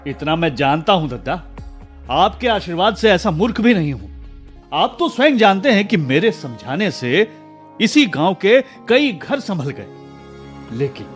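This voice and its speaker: A principled young husband in a radio drama. His voice is high for a man and very animated, earnest and emphatic, with light music underneath. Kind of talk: Hindi radio drama acting.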